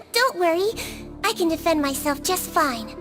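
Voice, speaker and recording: A tiny, caring nurse creature with an extremely high, sweet little voice. She speaks earnestly and softly, with soft game music playing underneath. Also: animated, a little slow. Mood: reassuring. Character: small gentle female nurse creature, caring. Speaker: head nurse. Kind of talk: game cutscene voice acting.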